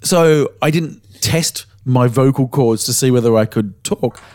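An Australian man co-hosting a podcast, slightly hoarse as he recovers his voice, telling stories in a frank, casual way with the odd swear word. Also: mid-range voice, unhurried, darkish tone, dry recording. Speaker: podcast co-host.

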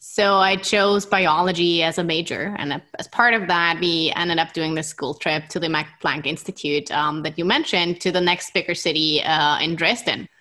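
Bright-voiced German woman scientist. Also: on the low side, moderate pace, few pauses. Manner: excitable, enthusiastic. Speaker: scientist guest. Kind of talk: interview conversation.

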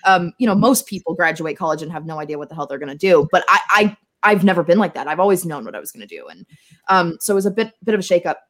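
Young woman telling stories, slightly low voice. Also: fast. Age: young adult. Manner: animated, theatrical, self-mocking. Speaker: podcast guest, tech marketer. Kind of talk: casual conversational storytelling.

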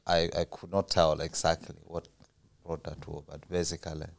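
A man from Uganda, a podcast guest, answering questions in a soft, plain and hesitant way. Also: rumbling low, little pitch movement, normal speed, gravelly. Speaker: interview guest. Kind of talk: casual interview answers.

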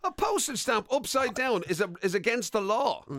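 A man's voice: extremely high pitch, very animated, normal speed, fairly bright tone, no echo, clean studio sound. An Irish man co-hosting a radio show in casual, wry banter, often sounding mock-indignant.

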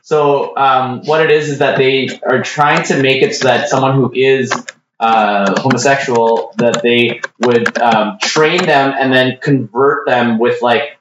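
A man's voice: medium pitch, fairly even intonation, unhurried, background noise. A man hosting a casual chat, rambling with lots of filler words. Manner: rambling, heavy on "like" and "um". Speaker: podcast host. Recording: roomy, distant, dull.

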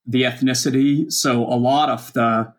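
Male guest in his fifties from Kenya, calm and reflective as he recounts his past. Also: medium pitch. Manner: measured, reflective. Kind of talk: personal storytelling in an interview.

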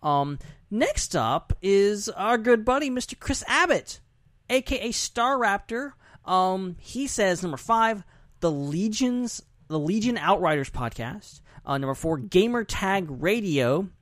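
A man's voice: high-pitched, big pitch swings, a little slow, fairly bright tone, dry recording, clean studio sound. A man chatting casually and animatedly as a podcast host.

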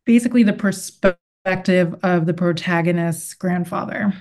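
Woman author doing a book reading, lowish voice, really mellow and dark. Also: moderate pace. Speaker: novelist and guest author. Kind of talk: interview talk and read-aloud of literary fiction.

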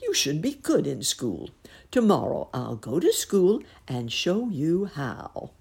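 Old storybook rabbit gent, read by a woman, jolly and playful, lively up-and-down voice. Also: deep voice, takes it slowly, gravelly, husky, exaggerated voice. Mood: cheery.

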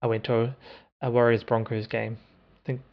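Podcast co-host, New man, gravelly voice, hesitant rambling chat, muffled lo-fi audio. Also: mid-range voice, little pitch movement, moderate pace. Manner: hesitant, rambling, with many ums and restarts. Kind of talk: casual chat.

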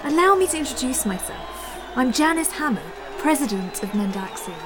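A woman's voice: high-pitched, animated, normal speed, music in the background, crowd noise. A woman playing a drawling decadent corporate president. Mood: gushing. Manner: theatrical, drawling, calls everyone darling. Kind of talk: audio drama acting.

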